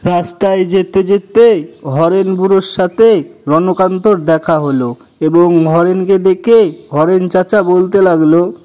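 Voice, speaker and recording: A man's voice: high voice, steady tone, slow, warm tone. A man narrating a scripted children's story as the narrator of a cartoon.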